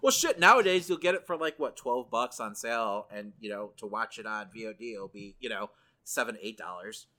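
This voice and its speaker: A man's voice: fairly low pitch, expressive, brisk, bright, forceful tone. A middle-aged man co-hosting a casual podcast, chatting in a joking, loose way. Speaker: podcast co-host. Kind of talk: casual nerd culture podcast chat.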